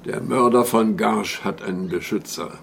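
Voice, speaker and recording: An actor playing a wry, self-satisfied informant in a radio crime drama, confiding with drawled hesitations and irony. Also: male voice, lowish voice, normal speed, gravelly, fairly bright tone, slight background noise. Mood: conspiratorial warning. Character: wry, self-satisfied male insider, shrewd secretive informant.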